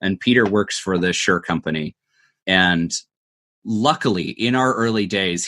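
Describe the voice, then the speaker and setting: A man's voice: deep voice, fairly bright tone, no room sound. A man speaking conversationally as an interview guest, explaining and advising in an earnest, emphatic way. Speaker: podcast producer, guest. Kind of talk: conversational interview answer, giving advice.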